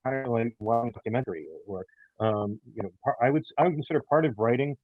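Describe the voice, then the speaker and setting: A man being interviewed as a writer, talking casually in halting, thoughtful phrases over a narrow, phone-like connection. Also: medium pitch, no room sound, faint music behind. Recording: muffled narrow phone-like line.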